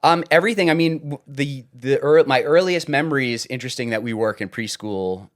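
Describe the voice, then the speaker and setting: A man from suburban New York, a guest in a podcast interview, telling stories in a casual, lively way with deadpan teasing jokes. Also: medium pitch, brisk.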